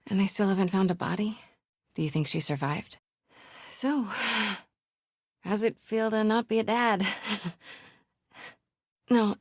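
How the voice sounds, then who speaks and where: A woman voice actress playing a determined young investigative reporter in a video game, with an American accent and a breathy, hushed manner. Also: mid-range voice, steady tone, measured pace, pauses often, dry recording, clean studio sound, lightly acted. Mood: gentle, wondering. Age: young adult. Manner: hushed, self-talking, breathy.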